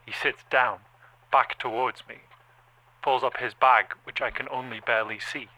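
A man's voice: mid-range voice, fairly even intonation, normal speed, sharp tone, slight background noise, natural voice, low-quality recording. A man playing an anxious, watchful machine observer, narrating an audio drama in clipped, rhythmic phrases. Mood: tense, watchful.

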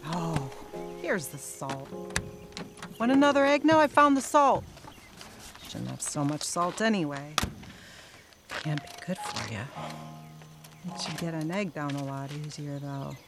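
A woman's voice: low-pitched, animated, unhurried, long pauses, loud music behind the voice. An actress playing a bossy, teasing wife who needles her husband, in an audio drama. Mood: wry. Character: sharp-tongued teasing wife, bossy and witty.

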